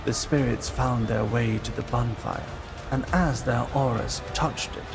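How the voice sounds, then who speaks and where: A man narrates a fantasy tale in a solemn, dramatic way. His delivery is slow with a creaky, gravelly grain, and music plays prominently underneath. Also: mid-range voice, fairly even intonation.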